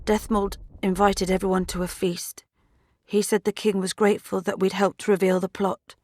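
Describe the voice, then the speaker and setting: A woman's voice: mid-range voice, level tone, moderate pace, a little mellow, dry recording, lightly acted. A woman acting a shaken young soldier giving a grim account in a fantasy video game. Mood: shaken, subdued.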